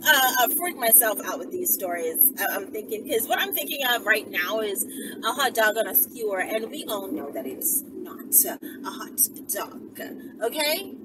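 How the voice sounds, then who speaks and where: Animated high-voiced woman, noisy car recording. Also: normal speed. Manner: animated, slangy and profane, with frequent tag questions. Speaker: vlogger telling stories to followers. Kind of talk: casual rambling storytime chat to camera. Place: inside a car while driving. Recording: noisy moving car, distant mic.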